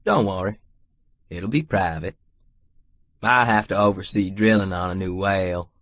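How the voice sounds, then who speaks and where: A man's voice: sits low, measured pace, no room sound, dull audio. An actor narrating a romance audiobook as a stubborn, wry, lovestruck Texas oilman. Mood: teasing, amused. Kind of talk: first-person audiobook narration with dialogue.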